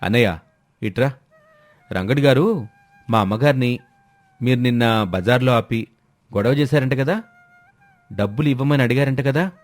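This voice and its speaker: A man's voice: medium pitch, normal speed, pauses often, no echo, soft background music, close to an everyday voice. Man playing accusing younger son in a family drama. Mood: pressing. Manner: probing, accusatory questioning. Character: outraged younger son exposing his scheming mother.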